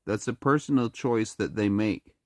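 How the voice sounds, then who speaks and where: Vlogger, speaks with a very low, deep voice, slightly sick and clearing the throat, halting delivery full of restarts, dry close sound. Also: male voice, a little mellow, no room sound. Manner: halting, many restarts and fillers. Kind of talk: casual monologue to camera.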